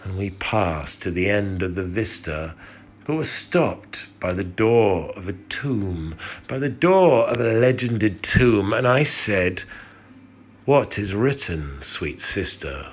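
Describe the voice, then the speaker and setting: Deep, slow man reciting poetry solemnly. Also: lively intonation. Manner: solemn, theatrical, drawn-out delivery. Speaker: poetry reader. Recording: muffled, with background hiss.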